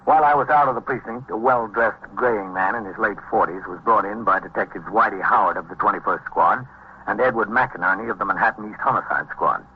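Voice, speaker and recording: Quick, low-voiced police lieutenant. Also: male voice, slightly rough. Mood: matter-of-fact. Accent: American. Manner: clipped, matter-of-fact. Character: calm, dutiful male police lieutenant, also narrating. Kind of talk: vintage police procedural narration and dialogue. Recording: dull, noisy vintage radio recording.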